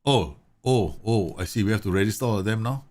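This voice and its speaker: Male streamer from Singapore, slightly low-pitched, relaxed running commentary. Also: fast talker. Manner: chatty, laughs often, reads game messages aloud. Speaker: gaming streamer. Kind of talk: casual live game commentary.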